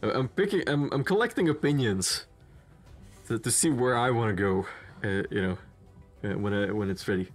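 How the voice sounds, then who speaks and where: A man's voice: fairly low pitch, normal speed. A young man with a Slavic accent, chatting casually while streaming a game, rambling with lots of fillers and restarts. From Czech Republic or Slovakia. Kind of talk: casual gameplay commentary. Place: home room in front of a gaming chair. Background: faint game music.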